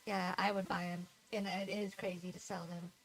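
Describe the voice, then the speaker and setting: A woman's voice: fairly low pitch, little pitch movement, moderate pace, vocal fry, a little hiss or hum, low-quality recording. A woman livestreaming a game, talking casually in a nasal voice, rambling and giggling at herself. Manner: rambling, giggly, self-deprecating. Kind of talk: casual gameplay chat.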